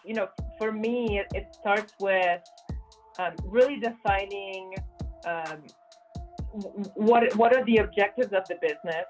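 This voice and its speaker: A woman's voice: medium pitch, a little slow, muffled recording, soft background music. A woman in her thirties from Poland, raised in France, speaking as an interview guest in casual conversation, with frequent fillers and restarts. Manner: frequent fillers and repeated words, earnest. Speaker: guest, business consultant and former volleyball captain.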